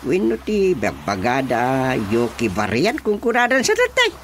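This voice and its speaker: A man acting an excitable, exasperated village chairman in an Ilocano radio drama comedy, exclaiming and scolding theatrically with an Ilocano accent. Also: medium pitch, a little slow, background noise. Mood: matter-of-fact.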